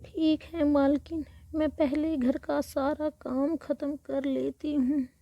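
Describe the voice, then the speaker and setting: A woman playing gentle, earnest village women in a children's moral story cartoon. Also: high-pitched, flat delivery, takes it slowly, dry recording, lo-fi sound. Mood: pleading. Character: humble, kindly village women, maid and mistress.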